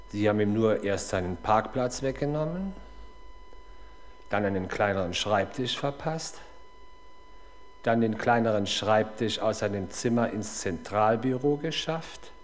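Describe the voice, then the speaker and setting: A gruff, insistent police inspector of middle age, played by a man, in an old radio crime drama. He is stubborn, embittered and quick-tempered, with a sardonic edge to his words. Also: mid-range voice, a little hiss or hum, close to an everyday voice. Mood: bitter, sardonic. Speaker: actor in a radio crime play. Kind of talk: 1970s German radio drama acting.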